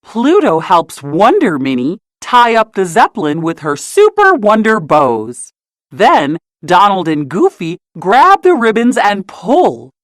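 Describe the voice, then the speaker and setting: A woman narrates a children's storybook with lively, swooping intonation. She takes her time over each phrase, telling it expressively for young listeners. The recording is close and dry. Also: on the low side, dry recording. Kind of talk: scripted children's read-aloud narration.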